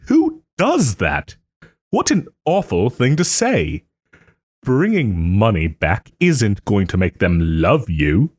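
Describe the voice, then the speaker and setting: A man playing a haughty but tender wronged nobleman in a romantic audio roleplay, speaking theatrically with formal, old-fashioned phrasing and hesitant pauses. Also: lowish voice, huge pitch swings, no room sound, studio quality, heavily acted. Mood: incredulous, urgent. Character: wronged young nobleman, haughty, wounded, still tenderly caring.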